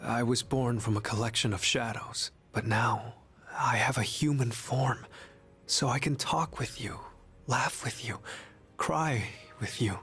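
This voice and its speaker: An actor playing a gentle young harbinger of death in human form for a video game, speaking softly with grave, resigned, pleading delivery. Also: male voice, medium pitch, measured pace, faint music behind. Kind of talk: English video game voice acting.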